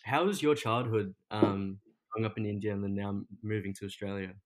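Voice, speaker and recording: A man's voice: lowish voice, steady tone, fairly quick. A man co-hosting a podcast, asking questions in an easygoing chat. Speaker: podcast co-host. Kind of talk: casual interview chat.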